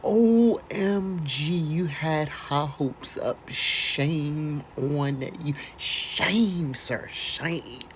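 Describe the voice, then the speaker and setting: Low-pitched American woman, theatrical and mocking. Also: very slow, few pauses, heavy background noise. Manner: theatrical, mocking, breaks into exclamations and asides. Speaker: host doing a dramatic reading. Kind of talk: dramatic read-aloud of a letter with casual mocking commentary.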